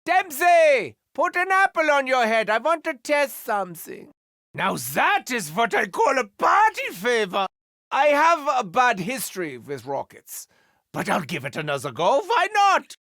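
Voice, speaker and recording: A man's voice: extremely high pitch, wildly swooping pitch, measured pace, sharp tone, no room sound, studio quality, heavily acted. An actor playing a manic, unhinged German male doctor in a video game, with a German accent and a theatrical, mock-wounded manner. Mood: gleeful.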